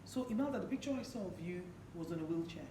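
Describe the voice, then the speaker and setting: A hoarse woman from Nigeria, hosting a talk show in a conversational interview style. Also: fairly low pitch, lively intonation, fast. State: hoarse, partly lost voice. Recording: echoey room, clearly noisy background.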